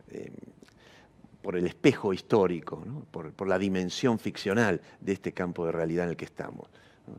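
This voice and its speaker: An Argentine man with a fairly low voice gives a thoughtful interview. He speaks like an intellectual, building abstract ideas, searching for the exact phrase and adding short questioning tags. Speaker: interviewee, theatre practitioner. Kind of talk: reflective intellectual interview talk.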